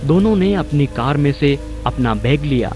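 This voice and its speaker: A man's voice: on the high side, expressive, a little slow, over loud music. A man narrating a scripted spooky story as a storyteller.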